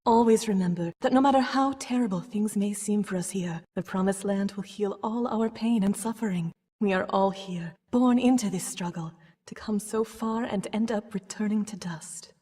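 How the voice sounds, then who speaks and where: An actress playing a solemn older female cult leader in a video game, preaching in a measured, ceremonial manner. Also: mid-range voice, warm tone, slightly rough, close to an everyday voice. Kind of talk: sermon-like video game acting. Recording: long cathedral-like reverb.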